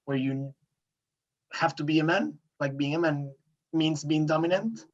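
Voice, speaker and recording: A man's voice: a little high, unhurried, highs cut off. A man from Colombia whose first language is Spanish, speaking with a Colombian accent as a podcast guest, earnest and searching for words with many "eh" fillers. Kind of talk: casual interview talk.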